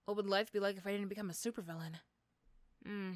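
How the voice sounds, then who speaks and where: A woman playing an irritable, sarcastic female supervillain in a roleplay audio drama, snappy and condescending but gruffly caring. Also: medium pitch, steady tone, fast talker, no echo, voice pushed down, close to an everyday voice. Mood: condescending, reassuring.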